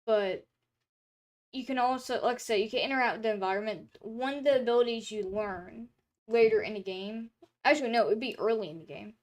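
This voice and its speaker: A young woman with a fairly high voice chats loosely on a stream, circling back with fillers and restarts as she talks. Her throat sounds a bit dry and hoarse. She is talking from a room at home. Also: fairly quick. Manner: rambling, chatty, lots of filler words and restarts. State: throat drying, slightly hoarse. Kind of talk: casual stream chat.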